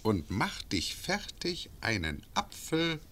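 A cruel, imperious bailiff in an old radio drama, who taunts his victims in a slow, gravelly, bright voice. His pitch sweeps widely as he toys with them. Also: huge pitch swings, exaggerated voice. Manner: cold, mocking, imperious. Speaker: actor in radio play. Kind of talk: classic radio drama acting.

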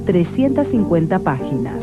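A woman delivers scripted documentary narration at a middling pitch. The recording is thin and narrow like a telephone, with music clearly playing behind her. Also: sing-song, moderate pace. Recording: thin phone-like sound, loud music behind.

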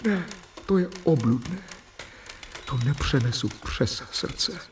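An actor playing an earnest, devoted doctor, speaking solemnly and rhetorically in a radio drama. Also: male voice, a little high, animated, slight background noise, lightly acted. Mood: dismayed. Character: earnest, devoted doctor, awed assistant confiding his diary.

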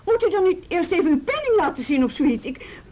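A woman's voice: sing-song, brisk, dark tone. A woman acting a wary, self-deprecating sixteen-year-old schoolgirl in a radio play, hesitant and repeating her words. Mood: nervous, doubtful. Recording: faint hiss and low rumble.

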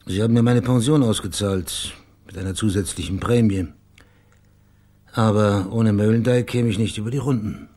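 An actor playing a dry, laconic male private detective with a husky voice in a radio play. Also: on the low side, moderate pace, dark tone, lightly acted. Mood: dry, probing. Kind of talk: naturalistic radio play acting.

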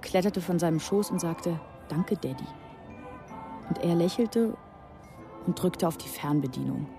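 A woman's voice: on the low side, measured pace, gravelly, music in the background. A woman playing a rebellious, sarcastic teenage girl in a radio drama, telling her story in a confiding, dramatic way. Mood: wistful, bitter. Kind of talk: radio drama narration and dialogue.

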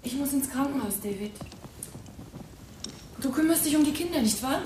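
Fragile, disoriented wife: high plaintive voice, echoey, radio crime play. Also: female voice, expressive, moderate pace, a little mellow. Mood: confused, pleading. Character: confused, fragile, pleading wife and mother. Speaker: actress in a radio crime drama. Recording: distant, echoey, faint noise.

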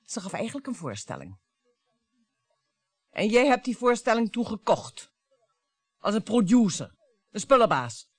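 A woman's voice: medium pitch, big pitch swings, unhurried, pauses often, no echo. An actress playing a bitter, mocking wife in her fifties in a radio play, with a theatrical way of talking. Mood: scornful. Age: 50s. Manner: theatrical, mocking, swinging between coaxing and scorn. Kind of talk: radio drama acting.

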